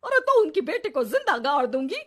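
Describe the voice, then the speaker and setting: A woman voicing a domineering, sharp-tongued older mother-in-law in a cartoon family story. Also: high-pitched, sing-song, a little fast. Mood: angry scolding. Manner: sharp-tongued, bossy scolding.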